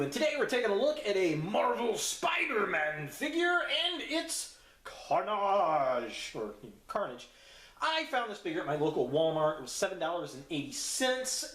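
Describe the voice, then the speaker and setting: Male toy reviewer, slightly high voice, informal and slangy, casual unboxing commentary, roomy sound. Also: fairly bright tone. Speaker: host of a toy review channel.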